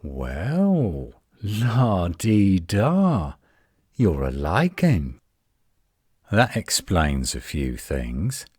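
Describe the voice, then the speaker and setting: A man playing a defensive, flirtatious tsundere werewolf in a roleplay audio drama, indignant and sarcastic with a husky voice. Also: low-pitched, darkish tone, no echo, studio quality, heavily acted. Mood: resentful, sarcastic.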